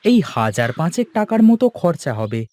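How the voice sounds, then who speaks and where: A man's voice: a little high, very animated, a little fast, darkish tone. A man voicing a poor, loving and anxious village father in a cartoon. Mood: concerned, tender. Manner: tender, anxious. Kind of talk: cartoon character dialogue. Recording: music bed behind the voice.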